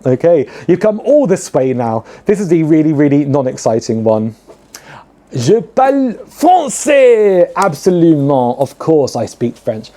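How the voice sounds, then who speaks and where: A young British man, a drag queen hosting his own show, chatting to camera in a camp, theatrical way with lots of fillers and sudden high exclamations. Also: sing-song, unhurried, mellow. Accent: British English. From United Kingdom. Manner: many "um" fillers, chatty and self-mocking. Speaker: drag queen podcast host. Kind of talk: chatty solo talk to camera.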